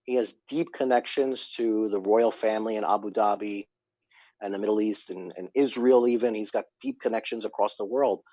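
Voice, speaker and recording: A man guest on a casual podcast, telling stories in an animated way and acting out what people said. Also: on the low side, moderate pace, no echo, highs cut off. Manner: animated storyteller, acts out quotes. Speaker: guest, company founder.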